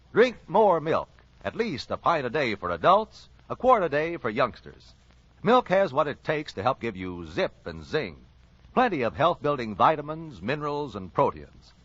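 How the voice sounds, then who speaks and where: An American man announces in the manner of 1940s network radio. His pitch runs fairly high and moves around a lot as he sells to the listener. The recording is dull and lo-fi, like an old broadcast. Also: fairly bright tone, dry recording. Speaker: radio announcer reading sponsor ad.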